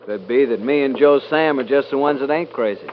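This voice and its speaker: Man playing gentle dreamer cowboy brother, plainspoken, dull hissy old radio drama sound. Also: mid-range voice, fairly quick, close to an everyday voice. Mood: mild, steady. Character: quiet, dreamy, steady rancher brother, gentle peacemaker. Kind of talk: 1950s radio drama acting.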